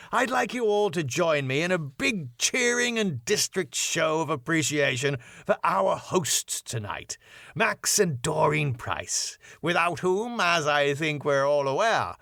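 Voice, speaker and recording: An effusive master of ceremonies at a ballroom, acted out by a man reading a sitcom script. The voice is pitched well up and delivers each phrase as a grand announcement. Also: creaky, pushed and bright, no room sound, studio quality, exaggerated voice. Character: Vaughan, effusive, showy male ballroom compere.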